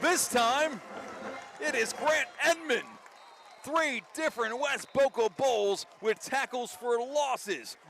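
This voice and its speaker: A man doing live radio play-by-play of a football game, an excitable commentator who lifts his voice for big plays. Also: extremely high pitch, slow pace. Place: outdoor high school football stadium. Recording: faint outdoor stadium ambience noise. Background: faint outdoor ambience.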